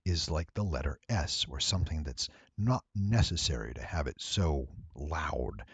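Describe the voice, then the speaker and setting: A man giving a casual, rambling software walkthrough, thinking aloud and playfully addressing his viewers. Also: rumbling low, lively intonation, measured pace, slightly rough, no echo. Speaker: tutorial presenter and voice actor.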